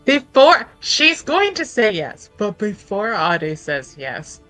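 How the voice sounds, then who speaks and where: A woman's voice: mid-range voice, big pitch swings, slow pace, bright, forceful tone, no room sound, faint music behind. A woman playing a tabletop roleplaying game, chatting in an informal, sweary way with the game master. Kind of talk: casual game-table chat.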